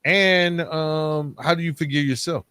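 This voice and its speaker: A man's voice: fairly high pitch, unhurried, bright, forceful tone, smooth, dry recording. A man hosting a podcast interview in a casual, affirming, conversational way, adding reflective commentary. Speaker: podcast host.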